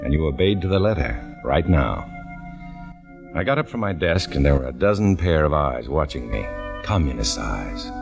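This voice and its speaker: An actor playing a male undercover agent who narrates his story in a 1950s radio drama, with measured, tense delivery. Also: extremely low pitch, gravelly, exaggerated voice. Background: music.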